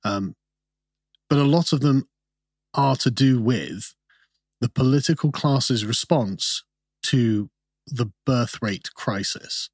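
Male commentator, husky timbre, measured explanatory delivery, close dry studio sound. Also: medium pitch, no room sound. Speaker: guest commentator. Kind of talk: podcast interview.

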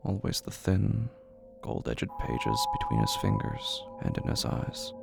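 A narrator reading literary horror fiction in a hushed, brooding, deliberate way, with a gravelly, breathy voice. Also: extremely low pitch, big pitch swings. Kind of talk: slow literary horror audio drama narration. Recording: music bed under the voice.

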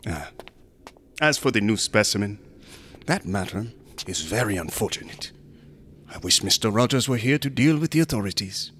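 A man's voice: fairly low pitch, animated, normal speed, long pauses, a bit bright. A sinister, smug museum assistant in a horror radio play. He is oily and courteous, with a theatrical, widely swinging delivery that sinks into insinuating whispers. A faint noise sits behind the voice. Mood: oily politeness. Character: sinister, smug, unctuous museum assistant guarding macabre exhibits. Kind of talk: horror radio drama acting.

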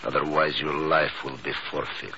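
Deep-voiced, menacing knifeman. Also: steady tone, creaky, pushed and bright, heavily acted. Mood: cold menace. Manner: slow, formal, ominous threats. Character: menacing Egyptian man with a knife, coldly threatening. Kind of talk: 1950s radio drama acting. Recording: noisy, muffled old radio recording.

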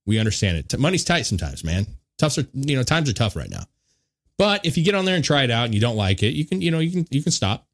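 A man's voice: medium pitch, very animated, fast. A man hosting a podcast in loose, laid-back banter, swearing freely and chuckling between remarks. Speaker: podcast host.

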